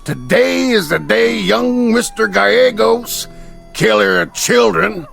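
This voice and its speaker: A gleefully cruel frontier peddler in a radio drama. His voice is high and very gravelly, swooping through slow, sing-song taunts like a salesman enjoying torment. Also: expressive, a bit bright, soft background music, heavily acted. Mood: gloating, taunting. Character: loud, gleefully cruel peddler in a Western town. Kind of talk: old-time radio drama acting.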